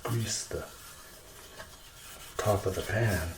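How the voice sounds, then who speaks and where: A man's voice: deep voice, extremely slow, noisy background. A man casually narrating ASMR cooking, chatting playfully to himself as he works. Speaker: host of an ASMR cooking video. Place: indoors in a kitchen. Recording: roomy echo, loud kitchen clatter and clicks. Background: clicks, dishes, cutlery and pans.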